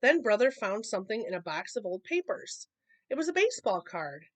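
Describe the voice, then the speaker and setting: A woman reading a children's picture book aloud in an expressive storytelling manner. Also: medium pitch, fairly quick, dry recording. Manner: expressive reading, acting out dialogue.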